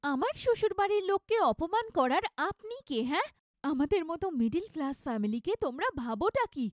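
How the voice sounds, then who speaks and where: A woman's voice: very high voice, very animated, brisk, no room sound, clean studio sound. A woman playing a fiery, proud young married daughter in a cartoon story, scolding and indignant.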